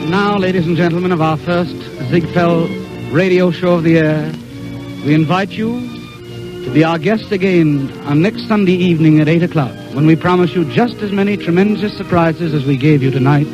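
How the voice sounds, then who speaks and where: A courteous man hosts in formal 1930s radio style. His voice is a little high. Music plays clearly behind him on a dull old broadcast recording. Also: normal speed. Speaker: radio show host. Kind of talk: 1930s radio show sign-off announcing.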